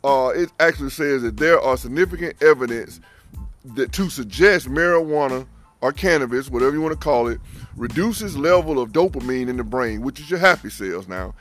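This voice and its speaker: A man in his forties co-hosting a casual podcast, who gets loud and fired up as he talks. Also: mid-range voice, lively intonation, brisk, faint music behind. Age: 40s. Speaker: podcast co-host.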